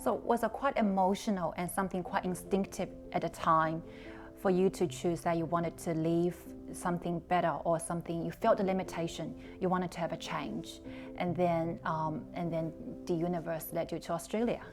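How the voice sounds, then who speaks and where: A woman's voice: fairly low pitch, steady tone, normal speed, soft background music. A woman hosting an interview, asking friendly, leading questions. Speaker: interview host.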